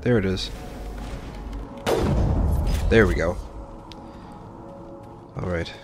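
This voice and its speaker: Guy doing chill lets play commentary, low voice, faint music behind him. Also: very slow. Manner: explanatory, informal. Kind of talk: casual gameplay commentary.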